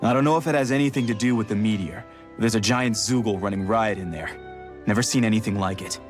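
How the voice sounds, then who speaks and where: A man's voice: fairly low pitch, quick pace, over music, close to an everyday voice. A man voicing a down-to-earth, helpful and cautious local miner in a video game, talking plainly and colloquially. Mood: helpful warning. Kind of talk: video game cutscene acting.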